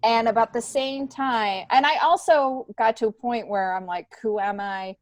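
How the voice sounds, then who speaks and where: A woman's voice: on the high side, normal speed, no room sound. A middle-aged woman with an American accent talks casually and with animation as an interview guest. She often breaks off and restarts her sentences. The recording is dry, with no room around the voice. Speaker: podcast guest, stand-up comedian. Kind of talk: casual conversational storytelling.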